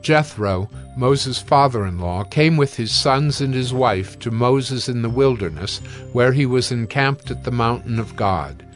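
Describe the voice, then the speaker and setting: A man narrating scripture for a dramatized reading in a measured, solemn manner. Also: medium pitch, animated, soft background music.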